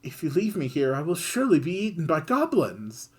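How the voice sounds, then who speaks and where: A game player voicing a sly little mouse that begs in an overly polite, whiny way, pitched high above their own voice. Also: sing-song, brisk, breathy edge. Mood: pleading. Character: sly pleading mouse, secretly a griffin.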